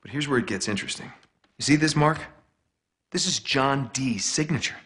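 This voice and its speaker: A man's voice: medium pitch, moderate pace, a bit bright, lightly acted. A man playing a confident, eager treasure hunter in a game cutscene, talking conversationally as he explains things. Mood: eager, explaining. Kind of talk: naturalistic video game cutscene acting. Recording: game music behind the voice.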